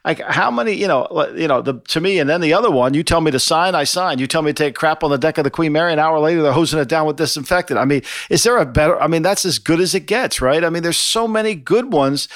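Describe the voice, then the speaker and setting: A middle-aged man co-hosting a podcast, rambling and animated as he quotes favorite lines with a laugh. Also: a little high, fast, hardly any pauses, no echo, clean studio sound. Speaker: podcast co-host. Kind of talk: casual chat.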